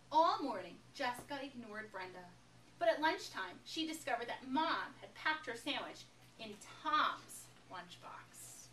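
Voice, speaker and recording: Bright high-voiced woman reading a storybook. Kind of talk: expressive children's picture book read-aloud. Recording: echoey, noisy room, distant microphone.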